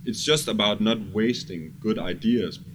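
Low-voiced Danish man over background noise. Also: unhurried. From Denmark. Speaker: music producer and songwriter, interview guest. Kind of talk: casual interview talk. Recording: clear background ambient noise.

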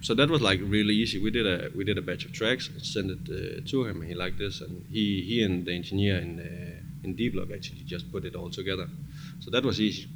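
A man's voice: sits low, fairly even intonation, brisk. A man with a Danish accent, a music producer giving casual, emphatic interview answers in informal non-native English. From Denmark. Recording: clearly noisy background ambience.

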